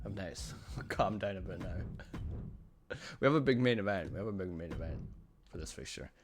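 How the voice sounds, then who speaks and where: A young man doing lively, self-amused play-by-play of a wrestling video game, repeating each call, his voice getting hoarse. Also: low voice, moderate pace, a little hiss or hum. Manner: excitable, repeats each call, laughs at himself. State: voice going hoarse. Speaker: gaming channel host.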